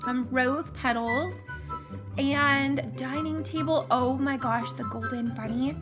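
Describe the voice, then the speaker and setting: A woman's voice: high-pitched, slow pace, music in the background. A young woman hosting a gaming channel, commenting casually with gushing gasps and giggles while losing her voice. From Canada.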